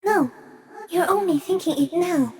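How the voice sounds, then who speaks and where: Giant stick insect from a video game, really high soft mellow voice, slow n solemn, gentle, kinda cryptic. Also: female voice, animated, full character voice. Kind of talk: video game character acting.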